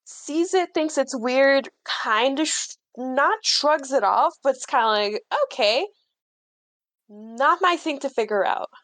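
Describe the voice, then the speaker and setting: A woman's voice: high-pitched, takes it slowly, a bit bright, no room sound. A woman playing a tabletop roleplaying game, improvising casually and thinking aloud in a hesitant, informal way.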